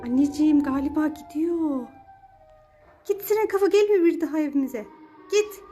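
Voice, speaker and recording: Very high-pitched mother playing with her child. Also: moderate pace, dark tone, soft background music. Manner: exclamatory, repeats short urgings. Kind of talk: playful home skit with child.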